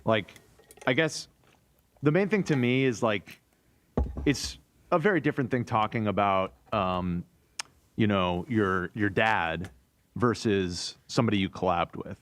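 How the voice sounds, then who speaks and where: A man co-hosting a podcast, talking in a casual, measured, conversational way. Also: lowish voice, fairly bright tone. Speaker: podcast co-host.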